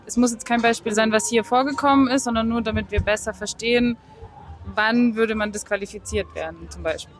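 A woman's voice: fairly high pitch, fairly quick. A woman co-host at a horse show, asking earnest follow-up questions in an interview. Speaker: podcast co-host. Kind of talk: on-location interview.

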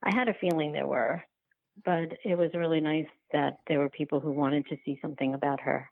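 A woman speaking casually as an interview guest, halting and restarting her sentences, over a thin phone-like line. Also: low voice, steady tone, vocal fry, no room sound. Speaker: interview guest, documentary filmmaker.

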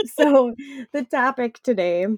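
A woman's voice: fairly high pitch, lively intonation, slow, a little hiss or hum. A woman with a Wisconsin accent and a stuffed-up nose, co-hosting a casual podcast chat and rambling with lots of "like.". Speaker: podcast co-host.

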